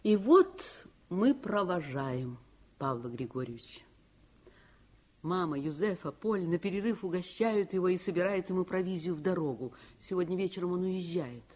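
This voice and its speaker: A woman playing a grown narrator who recalls childhood, expressive and heartfelt, in a Soviet radio play. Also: lowish voice, moderate pace. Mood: wistful.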